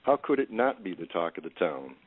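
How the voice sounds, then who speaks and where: A man's voice: low-pitched, very animated, fairly quick, dry recording. A man playing a suspicious, drunk older food critic in an audio play. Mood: mocking. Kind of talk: audio stage-play acting. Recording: tinny narrowband phone-like line.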